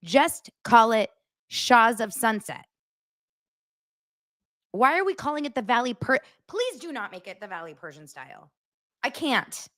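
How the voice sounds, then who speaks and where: A woman's voice: a little high, sing-song, measured pace, long pauses, fairly bright tone. An American woman hosting a casual pop-culture podcast, chatty and giggly, often laughing at herself. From United States. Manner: chatty, giggly, self-mocking. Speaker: podcast host.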